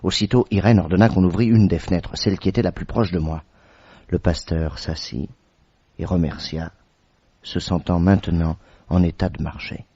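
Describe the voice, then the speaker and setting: An actor playing a loyal companion who tells the tale vividly in a French radio drama and is easily exasperated. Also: male voice, low voice, steady tone, normal speed, warm tone, husky, dry recording. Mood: measured narration. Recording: dull old radio recording, crowd murmur behind.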